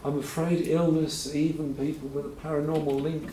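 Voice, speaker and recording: A man's voice: fairly high pitch, level tone, moderate pace, mellow, slight background noise. A man hosting a conference, talking informally in a rambling, self-correcting way with dry asides. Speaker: conference host. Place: lecture hall. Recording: roomy hall, voice from a distance.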